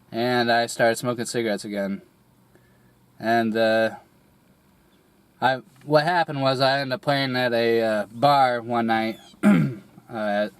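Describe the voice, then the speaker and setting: A young man getting over a cold, his voice a little raspy, telling a personal story slowly with many pauses, fillers and throat clearing. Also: mid-range voice. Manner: hesitant, rambling, many fillers and restarts, clears throat often. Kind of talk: candid personal monologue.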